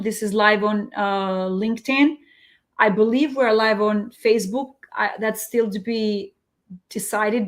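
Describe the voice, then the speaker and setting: A woman from Albania hosting a casual live interview, telling a story in an animated way and laughing readily. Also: medium pitch. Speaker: host.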